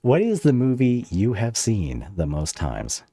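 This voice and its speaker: A man with a deep voice and very lively intonation hosts a casual interview. He is playfully dramatic in how he puts things. The recording is close and dry, with no room sound. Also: unhurried, husky, dry recording. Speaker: podcast host, interviewer.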